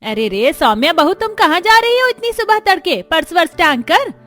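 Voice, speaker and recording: A woman's voice: very high-pitched, very animated, moderate pace, a bit bright, full character voice. A woman voicing a bossy middle-aged mother-in-law who scolds and commands in a cartoon family story. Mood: surprised, commanding. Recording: music behind the voice.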